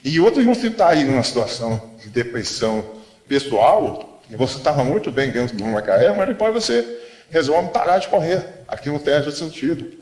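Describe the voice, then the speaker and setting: A man's voice: fairly high pitch, sing-song, moderate pace, darkish tone, reverberant. A Brazilian man giving a rambling, unscripted lecture, full of asides and rhetorical questions. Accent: Brazilian Portuguese.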